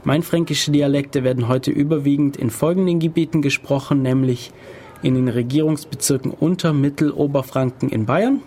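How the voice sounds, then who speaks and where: Man reading aloud haltingly. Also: fairly high pitch, mellow. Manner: reads aloud haltingly, stumbles over words. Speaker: podcast host. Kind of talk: casual podcast chat, reading aloud.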